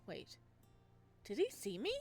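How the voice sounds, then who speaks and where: A woman's voice: medium pitch, wildly swooping pitch, slow, vocal fry, a little mellow, faint music behind, exaggerated voice. Woman reading as an anxious young male ghost. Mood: anxious, subdued. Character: anxious, lonely young male ghost narrating his story. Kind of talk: visual novel read-aloud, first-person narration.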